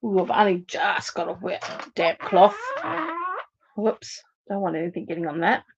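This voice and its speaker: A woman's voice: lowish voice, fairly even intonation, normal speed, highs cut off, noisy background. An Australian woman hosting a live card-making demonstration, chatting and thinking aloud to viewers as she works, her voice a little worn. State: slightly losing her voice. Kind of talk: casual live crafting demonstration.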